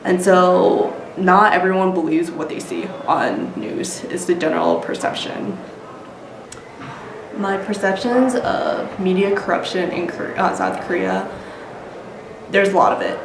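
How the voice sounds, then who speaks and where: American woman chatting in an interview, lowish voice, says like a lot, noisy echoey recording. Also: unhurried, creaky. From United States. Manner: frequent "like" and "you know", informal. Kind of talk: casual interview answers.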